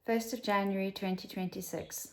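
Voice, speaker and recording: A woman's voice: mid-range voice, fairly even intonation, normal speed. A South African woman in her fifties talking candidly to camera at home, rambling and dropping to a whisper for asides. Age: 50s. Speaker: vlogger. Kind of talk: casual talk to camera.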